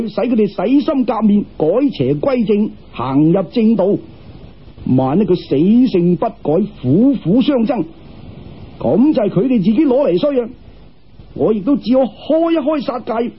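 一个男人用粤语口音扮演严肃而有慈悲心嘅道士师傅，语气似教训人又有威严，录音发闷。. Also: male voice, high voice, slightly rough, heavily acted. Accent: Cantonese. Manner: lecturing, solemn, commanding. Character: stern but merciful Taoist master with flying sword. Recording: dull, muffled radio-style recording.

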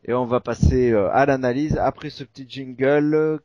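A man's voice: medium pitch, moderate pace, dry recording. A man with a southwestern French accent hosts a casual podcast, talking in a chatty way with plenty of filler sounds. His voice sits in the middle of the range. The sound is dry and a little muffled. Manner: chatty, full of "euh" fillers, animated. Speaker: podcast host.

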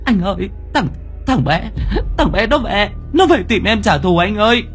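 A male narrator puts on a frightened young woman in a horror audio story, her pitch lifted well above his own. She stammers and repeats herself as she pleads for help, with music behind her. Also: lively intonation, moderate pace, fairly bright tone. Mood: panicked, pleading. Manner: stammering, repeats words in panic.

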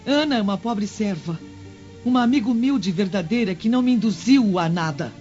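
An actress playing a humble, devout Roman senator's wife in a radio drama, speaking formally and solemnly with gentle firmness. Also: female voice, medium pitch, expressive, a little fast, natural voice. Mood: pleading. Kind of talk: old-fashioned radio drama acting. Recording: dull lo-fi radio drama with music.

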